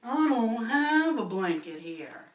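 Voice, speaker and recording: Woman putting on a slow whiny little boy. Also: a little high, big pitch swings, lo-fi sound. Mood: plaintive. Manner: slow, drawn-out whining protest. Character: plaintive little boy stalling at bedtime. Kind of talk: storybook puppet show character. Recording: roomy, distant mic, faint noise.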